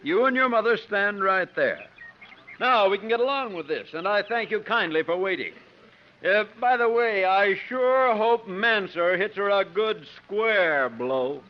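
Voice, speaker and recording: A man's voice: high-pitched, animated, measured pace. An actor playing a folksy, courteous and assured father in a 1950s radio drama. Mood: genial, assured. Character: folksy, courteous father presiding over a contest. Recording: muffled, noisy 1950s radio broadcast.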